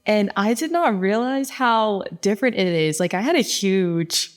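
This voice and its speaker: A woman's voice: medium pitch, lively intonation, unhurried. A young woman hosting a student podcast, asking questions eagerly in casual chat and filling her speech with "like". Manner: eager, many "like" fillers.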